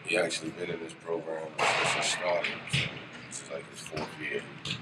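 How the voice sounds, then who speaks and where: A middle-aged man chats informally in an interview. His voice is extremely deep, picked up a little away from the microphone amid loud background noise. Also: level tone, normal speed. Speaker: father of a youth player, interviewee. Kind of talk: casual interview talk. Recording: very noisy room, slightly distant mic.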